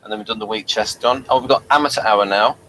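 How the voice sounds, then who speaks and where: A man with a bright, forward voice and a middling pitch presents a review in a conversational way. He reads from notes and drops in dry, wry remarks. A faint noise sits behind him in what sounds like a home recording. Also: fairly even intonation, fast, poor audio. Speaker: review show host. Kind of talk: conversational TV episode review, reading notes aloud.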